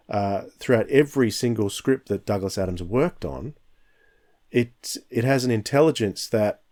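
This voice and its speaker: A man in his fifties hosting a casual podcast discussion, his voice sitting on the low side. He talks with reflective enthusiasm, often restarting his sentences as his thoughts catch up. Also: a little mellow. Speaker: podcast host.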